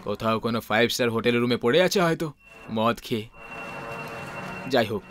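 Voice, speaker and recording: A man playing a stern, low-voiced company boss. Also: lively intonation, normal speed, noisy background, lightly acted. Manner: low, curt, authoritative. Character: stern older male company boss, curt. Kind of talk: animated horror dub. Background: background music.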